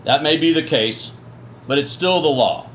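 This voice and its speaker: A man playing a judge in a church radio play, slow and formal. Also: on the high side, fairly bright tone, a little hiss or hum.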